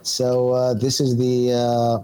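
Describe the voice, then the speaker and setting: A man's voice: medium pitch, completely flat delivery, slow pace, warm tone, a little hiss or hum, rough recording. A man from Saudi Arabia whose first language is Arabic, speaking in a hesitant conversational interview with many fillers and restarts. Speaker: guest, consultant based in Jeddah.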